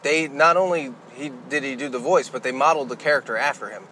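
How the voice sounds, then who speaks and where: A man's voice: mid-range voice, quick pace, sharp tone. Casual male podcaster over background noise. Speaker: podcast host. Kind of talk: casual conversational podcast chat about movies.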